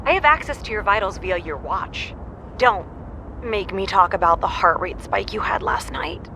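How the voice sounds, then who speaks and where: An exasperated grown daughter in an audio drama. Her delivery is blunt and dry, in a bright, forceful voice over faint noise. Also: medium pitch, normal speed. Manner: deadpan, blunt. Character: dry, exasperated adult daughter on a phone call.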